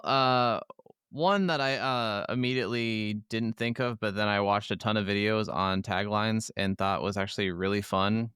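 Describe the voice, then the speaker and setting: A man's voice: fairly low pitch, pushed and bright, clean tone, no room sound, studio quality. A man co-hosting a podcast, chatting casually in slangy, sweary speech. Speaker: podcast co-host. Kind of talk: casual chat.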